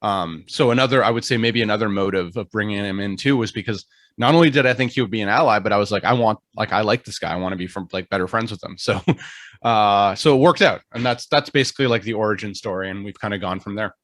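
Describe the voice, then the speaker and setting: A man's voice: lowish voice, fast, fairly bright tone, no room sound, clean studio sound. A young man from Ottawa, a guest on a casual podcast, chatting loosely and filling his sentences with "like". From Ottawa, Canada. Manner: chatty, heavy use of "like".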